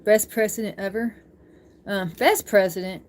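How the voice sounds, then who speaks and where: A woman's voice: medium pitch, steady tone, moderate pace. Chatty woman livestreamer over background noise. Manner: informal, rambling, giggly. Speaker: livestream host chatting with viewers. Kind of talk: casual livestream chat.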